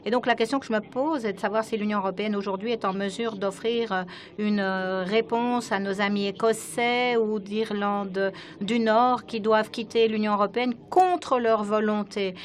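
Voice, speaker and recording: A woman giving simultaneous interpretation of speeches at a parliamentary conference, often pausing with hesitant fillers. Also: medium pitch, steady tone. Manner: frequent hesitant fillers while keeping up with speakers.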